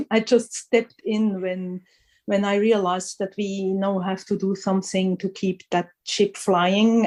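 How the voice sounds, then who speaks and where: Casting: unhurried woman guest, mellow, soft tone, thoughtful and halting. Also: medium pitch. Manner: thoughtful, halting, laughs often. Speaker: magazine editor guest. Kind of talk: casual interview chat.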